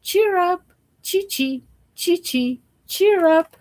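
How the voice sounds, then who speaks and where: Woman as a high chirping little nightingale. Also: lively intonation, slow pace, darkish tone, exaggerated voice. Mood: cheerful singing. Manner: chirping, repeated sing-song calls. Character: small sweet female nightingale singing bird calls.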